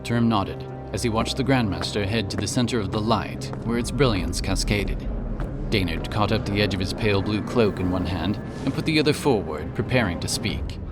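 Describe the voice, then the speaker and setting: Deep-voiced man narrating fantasy. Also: moderate pace. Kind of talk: scripted fantasy audiobook narration. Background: music.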